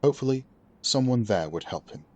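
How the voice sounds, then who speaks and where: A man giving scripted fantasy story narration as an audiobook narrator, with a steady, even storytelling delivery. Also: mid-range voice, fairly quick.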